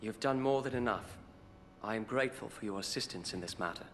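An actor playing a composed, courteous young noble lord who commands in a video game, speaking in a formal, measured way. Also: male voice, fairly low pitch, fairly bright tone, slight background noise. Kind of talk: video game cutscene acting.

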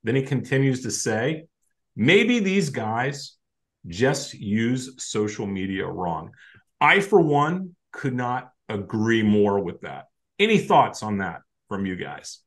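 A man's voice: medium pitch, sing-song, slow pace. An older man hosting a casual video call chat, upbeat, leaning hard on the words as he reads something out. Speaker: podcast host. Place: home room, indoors.

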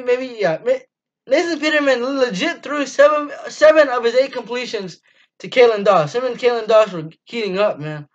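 A teenage boy hosting a casual sports review from a small dim room, talking enthusiastically with lots of ums and restarts. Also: very high voice. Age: teens. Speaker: fan channel host. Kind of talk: casual postgame review monologue. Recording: dull lo-fi webcam audio.

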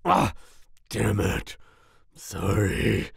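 A man playing a volatile but soft-hearted werewolf boyfriend in an ASMR roleplay, growling and raspy, with sighs and groans. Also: fairly low pitch, very animated, no echo, studio quality, heavily acted. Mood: furious. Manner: snarling outbursts, sighs and groans, slow brooding delivery. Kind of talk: ASMR roleplay audio drama.

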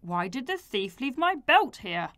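A woman voicing a kindly grown man who murmurs slowly and thoughtfully while he puzzles things out. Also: high-pitched, sing-song, a bit bright, dry recording, full character voice. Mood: puzzled.

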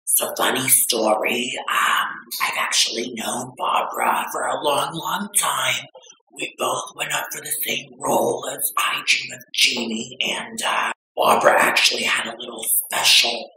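A man voicing a vain, bawdy aging beauty vlogger woman who claims to be twenty-two, with a breathy, whispery drawl and gossipy theatrical delivery. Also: medium pitch, a little slow, sharp tone, slight background noise. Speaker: makeup tutorial vlogger. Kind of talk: comedic makeup tutorial. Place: small decorated room indoors. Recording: echoey room.

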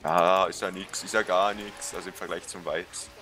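A man's voice: low-pitched, level tone, normal speed, over loud music. A young man streaming a racing game, joking and swearing casually with a friend. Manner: slangy, joking, swears and laughs. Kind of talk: casual gaming stream chat.

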